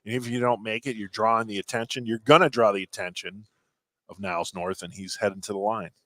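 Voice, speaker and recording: A man's voice: on the low side, fairly even intonation, fairly quick, a bit bright, no room sound. A man working as a color commentator on a basketball broadcast, reacting agreeably and analyzing plays conversationally.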